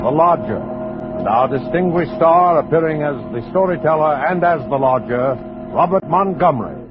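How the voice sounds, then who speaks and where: Male vintage radio narrator, theatrical and ominous, expressive intonation, clear music bed, muffled tone. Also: on the high side. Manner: theatrical, ominous, deliberate phrasing. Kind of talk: dramatic 1940s radio narration. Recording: dull old radio recording. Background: dramatic music.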